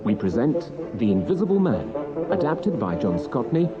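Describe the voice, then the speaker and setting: A man's voice: mid-range voice, sing-song, normal speed, dull audio, loud music behind the voice. A man announcing scripted radio drama credits in a formal broadcast manner.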